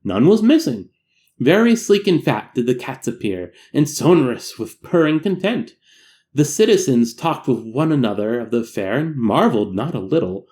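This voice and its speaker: A man reading a classic tale aloud as a narrator, in a dramatic, measured and solemn storytelling manner. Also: medium pitch, very animated, a little mellow. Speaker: amateur audiobook narrator.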